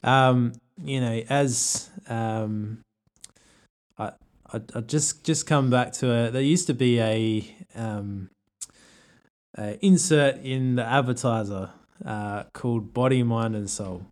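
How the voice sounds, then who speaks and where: An Australian pastor chatting on a podcast, hesitant, restarting often and thinking aloud. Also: male voice, medium pitch, slow pace. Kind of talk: casual podcast chat.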